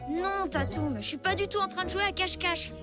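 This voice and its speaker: An actor voicing a spirited, anxious young cave boy in a French cartoon, expressive and exclamatory, with music behind. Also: very high-pitched, a little fast. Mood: panicked.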